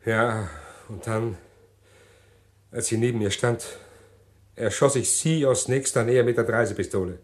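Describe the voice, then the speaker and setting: A man acting in a German radio crime drama, playing a desperate, cold-blooded condemned killer who confesses in deliberate detail and slips into agitated pleading. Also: medium pitch, natural voice. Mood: cold, intent confession.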